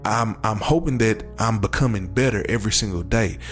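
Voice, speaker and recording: Male American interview guest, lowish voice, earnest testimony with emphatic repetition, faint music behind. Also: fairly quick. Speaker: show guest, crash survivor.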